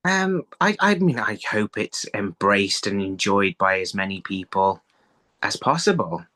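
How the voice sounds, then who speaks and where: Low-voiced man in casual interview. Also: sing-song, a little slow, bright, forceful tone. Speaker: interview guest, audio drama writer. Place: small room at home, on a video call. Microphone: phone on a video call.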